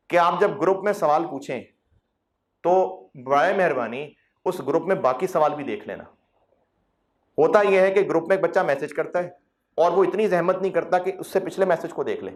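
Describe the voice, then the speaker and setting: A male accounting lecturer, talking to students in a classroom in a chatty, coaxing way. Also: fairly high pitch. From Pakistan. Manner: conversational, coaxing, teasing with mock quotes.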